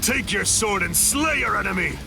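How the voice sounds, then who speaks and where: A man's voice: high-pitched, lively intonation, normal speed, vocal fry. A man voicing a menacing, taunting inner spirit in an anime game, with a sneering, theatrical delivery. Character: menacing, taunting male inner hollow spirit craving battle. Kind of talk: English anime game dub acting. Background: music.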